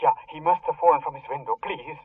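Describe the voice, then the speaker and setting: Tinny, anxious hotel receptionist. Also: male voice, on the high side, fast, slight background noise. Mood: anxious, urgent. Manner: urgent, pleading. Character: anxious hotel receptionist summoning a guest urgently. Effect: telephone filter. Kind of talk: radio drama acting. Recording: thin, muffled telephone line.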